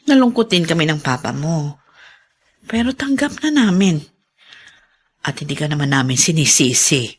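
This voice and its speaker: A woman playing a caring middle-aged mother in a radio drama, gentle and reassuring in manner. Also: low voice, expressive, normal speed, long pauses, breathy edge. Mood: consoling.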